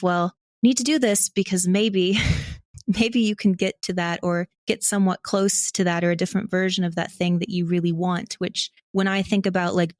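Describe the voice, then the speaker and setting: A woman's voice: on the low side, darkish tone, no room sound, studio quality. A woman talking casually and reflectively as an interview guest, candid and self-deprecating as she thinks aloud. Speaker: podcast guest, audio drama creator and actor.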